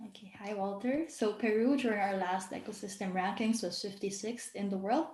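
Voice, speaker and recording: A woman's voice: medium pitch, normal speed, darkish tone. A woman hosting an online event, introducing a speaker in an informal way. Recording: echoey room on a video call.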